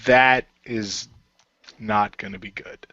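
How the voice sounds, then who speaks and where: A man's voice: fairly low pitch, fairly even intonation, takes it slowly, bright, forceful tone. A man taking part in a casual role-play radio show as a player, recorded with dull sound. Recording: dull, lo-fi audio.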